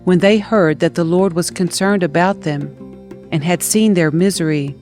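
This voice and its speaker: A woman's voice: fairly low pitch, normal speed, darkish tone. Woman reading scripture over music. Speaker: audio Bible narrator.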